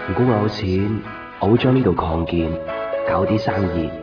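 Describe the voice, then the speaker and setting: An easygoing young copy-shop boyfriend played by a male actor chats in a low voice with a Hong Kong Cantonese accent. He is casual and caring, in a slightly dull radio-drama recording with faint music. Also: normal speed, natural voice. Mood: hopeful. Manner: casual, chatty. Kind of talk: naturalistic radio drama dialogue.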